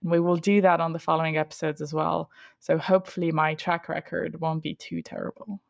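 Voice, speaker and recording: A woman's voice: low voice, level tone, brisk, creaky, no room sound, clean studio sound. A woman hosting an interview podcast. She is friendly, asks follow-up questions and laughs often. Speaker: podcast host.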